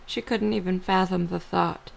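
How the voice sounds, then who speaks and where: A woman's voice: on the low side, steady tone, normal speed, gravelly, poor audio. A woman reading scripted story narration for an audio drama as the narrator.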